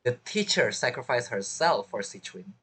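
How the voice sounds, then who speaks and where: A man's voice: mid-range voice, big pitch swings, moderate pace, pushed and bright, slightly rough. A man giving casual, playful reaction commentary on a video game story, chiming in informally.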